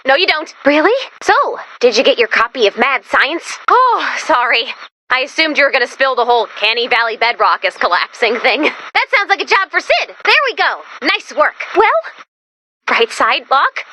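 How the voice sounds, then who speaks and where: A woman voicing a perky, brainy young female robot assistant in a video game, with an excitable, earnest, quick-witted delivery. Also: sits high, slight background noise, heavily acted. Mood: earnest, bantering. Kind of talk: animated video game voice acting.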